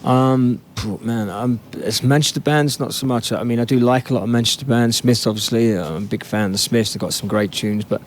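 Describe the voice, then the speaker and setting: A man's voice: mid-range voice, brisk, few pauses, a little hiss or hum. A man from England, a musician answering interview questions in a laid-back, reflective way. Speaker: musician guest.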